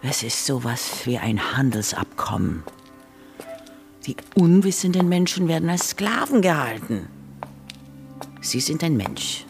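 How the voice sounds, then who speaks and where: A woman's voice: sits low, sing-song, measured pace, gravelly, pauses often, a little mellow, faint music behind, full character voice. An actress playing a stern, formal, commanding woman who believes in conspiracies, with an Austrian accent, in a radio drama. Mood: earnest, secretive. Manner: stern, formal, earnest, imperious. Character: commanding, conspiracy-believing wife leading a separatist group.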